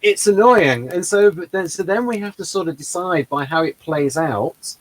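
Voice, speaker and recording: A man with a slightly high voice hosts a relaxed conversation. He rushes through his reasoning, making his case with quick, rambling explanations. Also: rough recording. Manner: fast, rambling, argumentative explanations. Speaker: host. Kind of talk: casual discussion of personality typing.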